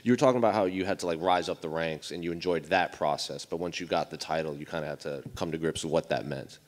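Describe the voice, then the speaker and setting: A man's voice: a bass voice, fast. A man asking conversational questions as a reporter at a press event.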